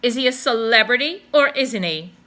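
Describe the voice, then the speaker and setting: A loud, boastful boatman who talks up his friend with indignant gusto, as a woman reading a storybook puts him on. Her voice stays bright and lively, punching the words out. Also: a little high, normal speed, pitched above the performer's own voice.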